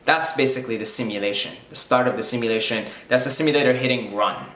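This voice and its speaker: A man's voice: mid-range voice, quick pace, a bit bright, echoey room. A man from Syria whose first language is Arabic, thinking aloud in a reflective spiritual monologue, repeating his phrases as he goes. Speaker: video creator speaking on spirituality.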